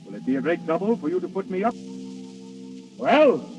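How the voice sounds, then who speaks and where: A man's voice: sits high, sing-song. An actor playing Death as a grand, imperious male spirit, speaking formally and theatrically in an old radio drama. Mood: intense, courtly. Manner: formal, theatrical, commanding, deliberate phrasing. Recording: dull, distant old radio recording with music.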